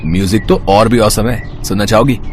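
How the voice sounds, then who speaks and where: A man's voice: fairly low pitch, normal speed, darkish tone, a little hiss or hum, lightly acted. A man playing a rich, casual college senior who coaxes and flirts in a story cartoon. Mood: friendly, coaxing. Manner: casual, persuasive. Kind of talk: Hindi moral story cartoon acting.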